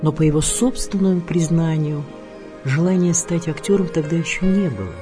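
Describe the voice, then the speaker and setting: A man's voice: on the high side, animated, moderate pace, music in the background. A man reading a scripted biographical narration as the presenter of a radio programme.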